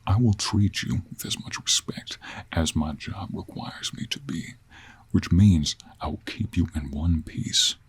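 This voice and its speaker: A man acts out a stubborn cowboy monster hunter from a fantasy audio drama. His voice is very low and husky, with an American Western drawl. He talks in a gruff, folksy way. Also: normal speed, a little mellow, heavily acted. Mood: stern, firm. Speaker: audio role play performer. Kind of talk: dramatic audio role play.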